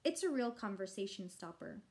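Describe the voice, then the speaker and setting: A woman's voice: medium pitch, big pitch swings, moderate pace, a little mellow. A woman narrating a children's story expressively, like a teacher reading aloud.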